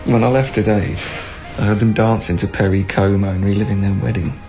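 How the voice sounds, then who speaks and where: An actor playing an earnest, apologetic younger official in a radio drama, hesitant and stammering. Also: male voice, on the low side, steady tone, fairly quick, mellow, lightly acted. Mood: tense, earnest. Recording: muffled radio drama, faint music.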